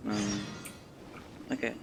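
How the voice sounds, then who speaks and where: A man gives casual livestream game commentary in a low, monotone voice. He mutters in a low-key way, with plenty of fry and clear noise in the capture. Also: slow. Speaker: game streamer.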